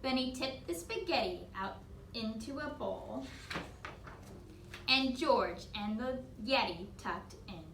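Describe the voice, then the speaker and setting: A woman reading a picture book aloud to young children in an animated storytelling style. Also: on the high side, takes it slowly. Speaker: children's story reader, teacher. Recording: echoey room, distant mic, faint noise.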